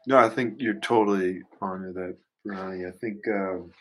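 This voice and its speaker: A man chatting casually as a podcast panelist, haltingly thinking aloud as he goes. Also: sits low, steady tone, fairly bright tone. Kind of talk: casual chat.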